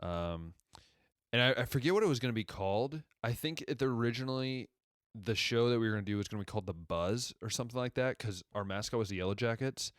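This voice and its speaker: A young man from Ohio in his twenties with a deep radio-style voice, hosting a casual solo podcast and rambling conversationally as he backtracks through his story. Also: fast, no room sound, studio quality. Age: 20s. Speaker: podcast host.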